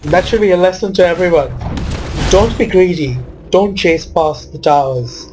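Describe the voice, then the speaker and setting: Male gamer, slightly high voice, wry informal commentary, some background noise. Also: moderate pace. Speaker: gamer commentating own match. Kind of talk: video game commentary.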